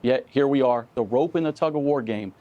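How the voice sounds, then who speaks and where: A man speaking as a union spokesman in a news interview, earnest, measured and emphatic. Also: mid-range voice, warm tone, muffled recording. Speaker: union president, interview guest. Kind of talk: live news interview answers.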